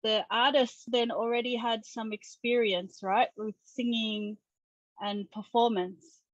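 A woman's voice: a little high, fairly even intonation, slow, muffled recording. A woman in her forties hosting a casual online interview show from a room at home over a video call. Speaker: host and interviewer.

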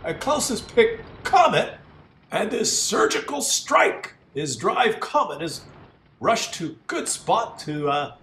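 A man voicing an excitable, mocking game commentator who speaks broken English in a put-on foreign accent. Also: high voice, takes it slowly, exaggerated voice. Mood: steady commentary. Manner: broken grammar, exclaiming and gloating. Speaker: host. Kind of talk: comic commentary on a game replay. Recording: roomy, distant mic with faint noise.

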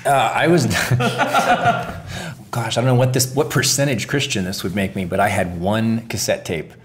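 A man's voice: on the low side, animated, unhurried, slight background noise. A man in casual podcast chat with a wry, self-deprecating way of talking.

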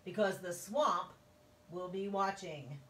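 Viola Swamp, a woman doing a scratchy stern teacher. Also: lowish voice. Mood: bossy. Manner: loud, drawn-out and bossy. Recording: roomy, distant, faint hum.

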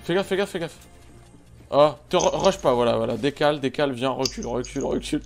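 A man's voice: medium pitch, fast, creaky. A young man with a slangy, teasing way of talking, chatting casually with friends while gaming on a headset. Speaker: gamer, video host. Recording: loud music behind.

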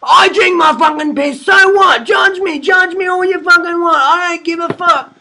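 A man with an Australian accent playing a brash, defensive meathead bogan in a sweary, shouting comedy rant. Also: very high-pitched, fairly even intonation, normal speed, a little hiss or hum, exaggerated voice. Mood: aggressive. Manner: shouting, swearing ranting monologue. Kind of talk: comedy character monologue.

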